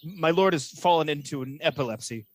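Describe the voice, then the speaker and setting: Man acting a scheming radio-play villain, slightly high voice, measured insinuating verse, false loyalty, faint background noise. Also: lively intonation, natural voice. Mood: feigned concern.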